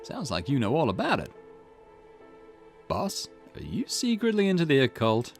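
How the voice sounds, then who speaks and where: A man voicing an eager, cheeky and excitable young detective sidekick with a teasing manner in a visual novel game. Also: medium pitch, normal speed, faint music behind, voice pushed up. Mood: curious, teasing. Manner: teasing, animated.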